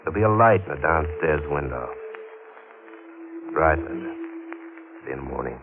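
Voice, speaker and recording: A man's voice: a bass voice, lively intonation, normal speed, music in the background. A male actor with an American accent playing a menacing, injured gunman in an old-time radio thriller, curt, commanding and sardonic. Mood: businesslike. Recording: muffled, noisy old radio recording.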